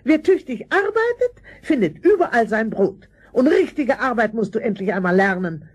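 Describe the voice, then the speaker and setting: A nagging, miserly aunt in a fairy-tale radio play. Her raspy voice leaps up and down as she scolds querulously. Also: fairly high pitch, very animated, moderate pace. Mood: dismissive. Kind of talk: German fairy-tale audio drama acting.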